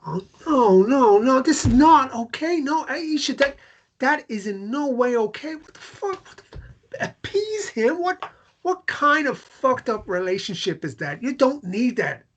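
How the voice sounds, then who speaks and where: A man's voice: extremely high pitch, big pitch swings, unhurried, full character voice. A man acting a protective, insistent character in a naturalistic drama table read, bluntly probing and stumbling into repetitions. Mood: appalled, angry.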